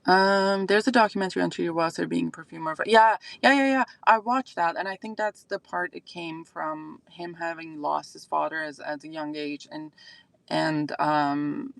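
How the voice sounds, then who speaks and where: A woman's voice: fairly low pitch, moderate pace, creaky, no echo. A woman from Iran with an Iranian accent, whose first language is Azeri, hosting a casual livestream chat and thinking aloud with lots of fillers. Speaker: fragrance channel host.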